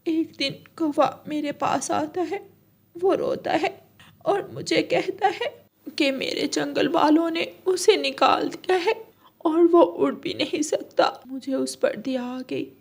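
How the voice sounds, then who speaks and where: Lady doing a sad mama myna bird for a cartoon, high pitched, plaintive and teary. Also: takes it slowly, creaky, full character voice. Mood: tearful. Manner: plaintive, tearful. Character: grieving, trusting mother myna bird.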